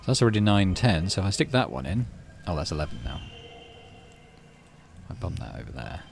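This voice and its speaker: A man's voice: sits low, sing-song, brisk, gravelly, noisy background. A man casually commentating on a game, thinking aloud in murmured asides and reading story text out. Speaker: gaming channel host.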